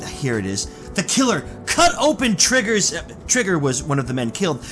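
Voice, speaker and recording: An actor playing a chatty, affable married passenger in a retro radio drama. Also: male voice, on the high side, very animated, normal speed, a bit bright, faint music behind. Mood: intrigued.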